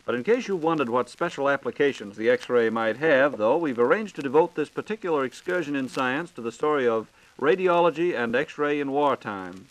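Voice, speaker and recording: Folksy American radio host, dry sound. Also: male voice, medium pitch, animated, normal speed, few pauses, no echo, rough recording. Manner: folksy, inquisitive. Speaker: radio program host asking questions. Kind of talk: scripted 1940s radio dialogue. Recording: old 1940s radio recording.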